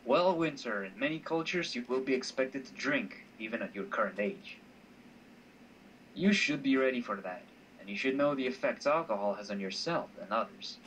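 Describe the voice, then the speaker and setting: A man's voice: mid-range voice, animated, moderate pace, a bit bright, highs cut off, natural voice. Man playing a self-assured, mock-stern mentor, distant mic. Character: teasing, self-assured male mentor, calm and insistent, Cyrus. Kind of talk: amateur voice acting of a visual novel. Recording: distant mic with faint noise.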